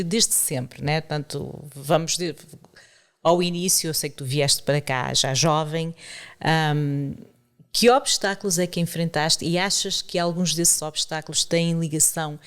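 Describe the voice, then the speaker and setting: A woman interviewing a guest informally, feeling her way through her questions. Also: low voice. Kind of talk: conversational interview.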